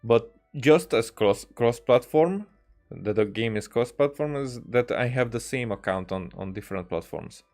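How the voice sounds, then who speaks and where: A man streaming games, chatting casually in a hesitant, halting way as he thinks aloud. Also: medium pitch, animated, a little mellow. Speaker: game streamer. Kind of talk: casual livestream chat. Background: music.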